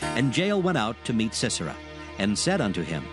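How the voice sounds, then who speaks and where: A man's voice: fairly low pitch, huge pitch swings, a little fast, over music. A man narrating dramatized scripture as a solemn storyteller, reading scripted text.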